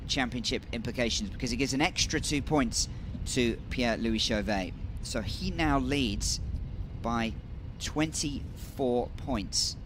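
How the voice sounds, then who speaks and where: Brisk male motorsport commentator. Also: mid-range voice, background noise. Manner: brisk, informative, building emphasis on key moments. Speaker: race commentator.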